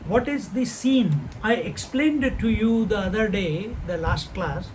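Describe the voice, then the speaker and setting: Older male spiritual teacher, high-pitched, unhurried questioning delivery. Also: expressive, a little hiss or hum, low-quality recording. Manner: unhurried, posing rhetorical questions; chants Sanskrit verses slowly. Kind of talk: scripture class talk.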